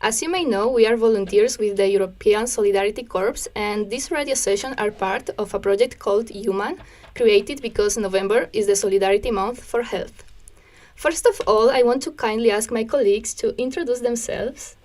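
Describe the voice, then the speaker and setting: A young woman hosting a volunteer radio show, welcoming listeners in a friendly, slightly halting way. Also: fairly high pitch, darkish tone. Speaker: radio host.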